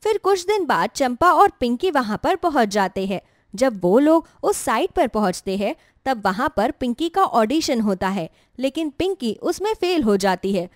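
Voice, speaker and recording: A woman's voice: a little high, sing-song, normal speed, dry recording, studio quality. A woman narrating a scripted moral story as a storyteller.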